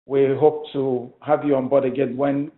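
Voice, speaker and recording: A man hosting a company conference call as an executive, answering in a formal, courteous, halting manner. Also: medium pitch, level tone. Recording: roomy, tinny speakerphone line.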